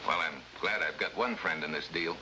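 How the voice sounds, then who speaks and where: An actor playing a wry, tough American special agent in a 1950s spy drama, with an American accent and sardonic delivery. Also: male voice, extremely low pitch, moderate pace. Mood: businesslike. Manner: wisecracking, sardonic, hard-boiled. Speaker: actor in the lead role. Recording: noisy vintage 1950s broadcast recording.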